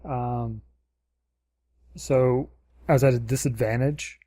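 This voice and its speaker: A man chatting casually as a podcast co-host, low-key and noncommittal, hesitating as he searches for words. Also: steady tone, takes it slowly, mellow, dry recording. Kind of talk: casual chat.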